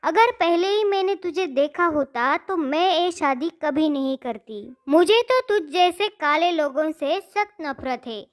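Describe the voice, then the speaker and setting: A woman's voice: sits high, lively intonation, measured pace, few pauses. A woman voicing a cruel, scornful stepmother who scolds with contempt in a cartoon story. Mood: contemptuous. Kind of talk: Hindi cartoon moral story acting.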